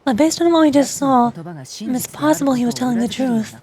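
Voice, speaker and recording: Earnest stubborn young tribe girl, streamer lady reading her lines, high but a bit softer. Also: expressive, normal speed. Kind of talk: fan reading of game dialogue.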